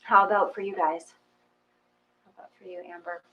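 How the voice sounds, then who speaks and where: A woman's voice: on the low side, steady tone, a little fast, muffled recording, slight background noise. A woman leading a meditation session, chatting casually in an easygoing, giggly way. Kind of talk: casual chat.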